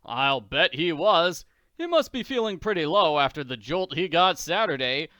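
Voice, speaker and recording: Hearty booming tycoon as a man reads him, teasing, bright crisp tone, dry sound. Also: very animated, moderate pace, no echo, muffled recording. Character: bluff wealthy middle-aged male tycoon.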